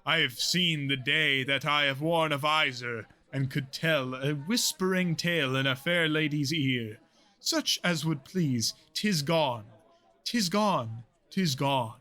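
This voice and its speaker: A hearty, nostalgic older nobleman, acted by a man in a Shakespearean audio play. He declaims jovially in a bright, forceful, fairly high voice, taking his time over the words. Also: takes it slowly.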